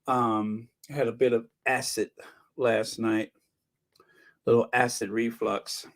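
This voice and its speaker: An older man hosting a commentary channel, talking with a hoarse, scratchy voice and dry sarcasm. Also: medium pitch, level tone, slow. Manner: wry, sarcastic asides. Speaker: channel host. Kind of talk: casual commentary, reading viewer comments.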